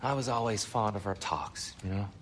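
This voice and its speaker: An actor playing a gentle, humble, courteous counsellor with formal, soft-spoken phrasing in a video game, in a reverberant space. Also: male voice, lowish voice, normal speed, soft background music, natural voice. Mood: gentle, gracious.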